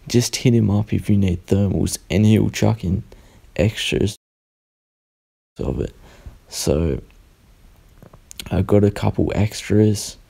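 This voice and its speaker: Croaky, deep young man. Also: warm tone. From Australia. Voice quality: croaky, tired. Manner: rambling, self-correcting, unhurried. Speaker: sticker artist vlogger. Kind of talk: casual unboxing voice-over.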